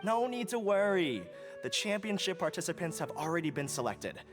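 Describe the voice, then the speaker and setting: A man playing a courteous, reassuring academy official in a video game, polite and measured. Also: high-pitched, sing-song. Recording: clear music under the voice.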